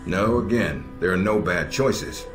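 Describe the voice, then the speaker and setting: An actor playing a stern, middle-aged American intelligence operations chief in a video game, measured and dryly sardonic. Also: male voice, sits low. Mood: instructive, firm. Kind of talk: video game cutscene dialogue. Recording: echoey, music underneath.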